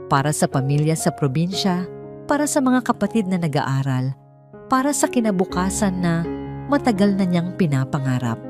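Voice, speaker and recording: Low-pitched woman narrating a dramatic story. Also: sing-song, normal speed, music in the background.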